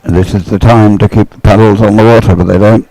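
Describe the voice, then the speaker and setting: A man's voice: lowish voice, fairly even intonation, fast, crowd noise, poor audio. A man giving live race commentary, explaining things in a hesitant, unscripted way. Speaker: sports commentator.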